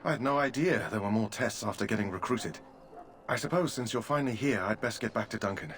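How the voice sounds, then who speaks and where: An earnest young knight, played by a man from a fantasy video game, with a slightly low voice. He speaks formally, in an old-fashioned way. A faint hiss runs underneath. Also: a little fast, close to an everyday voice. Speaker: game character.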